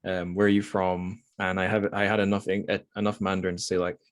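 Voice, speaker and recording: A man's voice: low voice, flat delivery, fast. A man hosting a casual book discussion, hesitant and often rambling as he corrects himself. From Scotland. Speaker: podcast host.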